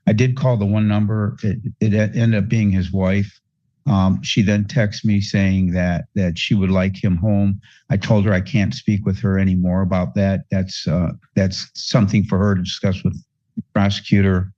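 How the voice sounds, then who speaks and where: A man with a low voice makes a spontaneous courtroom argument as a defense lawyer. He is halting and repeats words, and the sound is dull and lo-fi, though dry. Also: flat delivery, mellow, no echo. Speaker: defense attorney. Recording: dull courtroom audio feed.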